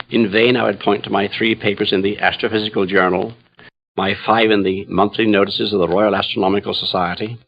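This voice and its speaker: An actor playing a grave, troubled Jesuit astrophysicist in a radio drama, solemn and measured, with a gravelly, husky voice. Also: male voice, fairly low pitch, dull audio, a little hiss or hum. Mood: wry sorrow. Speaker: dramatic narrator. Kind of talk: first-person radio drama monologue.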